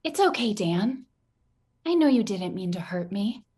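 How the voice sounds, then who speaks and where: A woman voicing an eerie, wounded video game character, breathy and sweetly reproachful with an edge of menace. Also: medium pitch, big pitch swings, measured pace, darkish tone. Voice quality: very breathy, husky.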